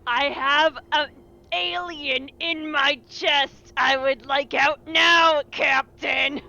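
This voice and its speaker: A woman's voice: sits high, steady tone, slow, gravelly, bright, forceful tone, muffled recording. A woman playing a tabletop roleplaying game, speaking dryly in character. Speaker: player.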